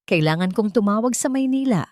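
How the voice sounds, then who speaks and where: A woman's voice: medium pitch, animated, brisk, a little mellow, no echo, voice pushed up, natural voice. A determined young Filipina who has been wronged , voiced by a woman narrator. She speaks with resolve in a storybook drama. The sound is dry and close. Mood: grave, urgent.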